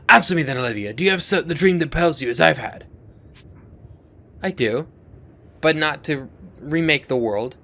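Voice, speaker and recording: A man's voice: fairly high pitch, fairly bright tone, husky, heavily acted. A man voicing a megalomaniac, scholarly villain from a video game, theatrical, grandiose and intense, obsessed with remaking the world. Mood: bitter, resigned. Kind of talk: video game character monologue.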